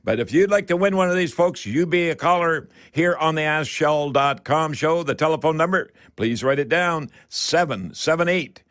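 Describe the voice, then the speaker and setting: A man's voice: on the high side, normal speed, hardly any pauses, a bit bright. Folksy older Canadian man hosting radio. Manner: chatty, addresses listeners as folks. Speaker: radio call-in show host.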